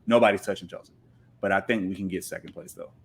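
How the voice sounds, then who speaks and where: A man's voice: sits low, fairly even intonation, fast, gravelly, a little hiss or hum. A man talking casually and with strong opinions as a podcast guest, making emphatic points with rhetorical questions and repeats. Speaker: podcast guest, football pundit. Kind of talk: opinionated casual sports talk.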